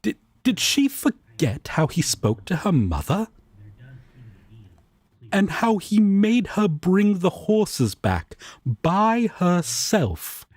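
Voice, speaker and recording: Earnest, excitable angel roommate played by a man for audio drama: mid-pitched, unhurried, proper and polite, dry close recording. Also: slightly rough, no room sound, exaggerated voice. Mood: indignant, invested. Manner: formal, measured, courteous phrasing. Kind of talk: roleplay audio drama, comfort scene.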